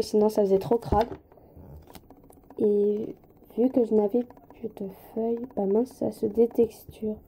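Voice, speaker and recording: A woman's voice: a little high, dark tone. A woman with a slight head cold giving a casual hands-on craft tutorial, informal and hesitant, often apologizing as she goes.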